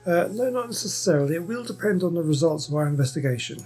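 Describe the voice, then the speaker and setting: A man's voice: fairly high pitch, animated, fast, darkish tone, husky, soft background music. A man giving casual let's-play commentary, rambling and thinking aloud as he goes. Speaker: gaming streamer.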